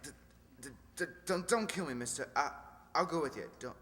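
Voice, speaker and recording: A man's voice: fairly high pitch, animated, brisk, pushed and bright, in a large hall. A man playing a big, strong henchman stammering in terror in an old-time radio crime drama. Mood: terrified.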